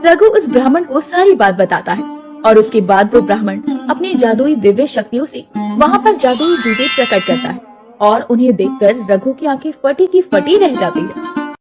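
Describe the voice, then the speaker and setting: A woman reads scripted narration for a cartoon tale. Her voice is high and very lively in pitch, with music clearly audible behind her. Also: normal speed.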